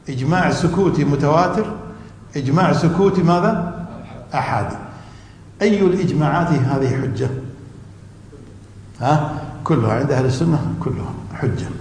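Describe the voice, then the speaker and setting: A man's voice: a little high, darkish tone. A man teaching a class on religious law. He quizzes the students with half-finished questions and repeats the key terms. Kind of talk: classroom lecture. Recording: echoey hall with faint room noise.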